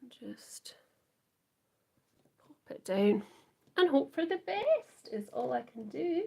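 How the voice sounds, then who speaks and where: A Scottish woman hosting a live crafting demo, chatting softly to viewers and often trailing into whispers as she works. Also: sits high, very animated, slow, creaky, slightly rough. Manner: soft, often trailing into whispers while working. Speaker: craft livestream host.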